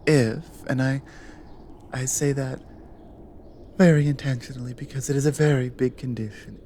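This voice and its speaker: A woman playing an exiled cougar guardian in an intimate ASMR audio drama, lonely and wary yet flirtatious, speaking haltingly in murmurs and whispers. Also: very deep voice, steady tone, dark tone, a little hiss or hum. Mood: cautious hope. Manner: slow, halting, breathy murmurs dropping into whispers.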